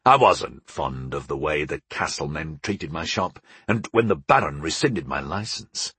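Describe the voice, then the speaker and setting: A man voicing a portly, proud older baker father in an audiobook, gruff and resolute, explaining things without hurry. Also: extremely low pitch, animated, bright, forceful tone, dry recording, voice pushed down, heavily acted. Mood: grumbling. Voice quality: gruff, grumbling. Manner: unhurried, explaining, homespun wisdom. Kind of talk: audiobook character dialogue.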